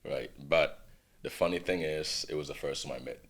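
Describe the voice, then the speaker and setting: Very deep-voiced man telling his life story. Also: moderate pace. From Ghana. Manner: relaxed storytelling with frequent "you know" and "right". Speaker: podcast guest. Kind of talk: casual life-story interview.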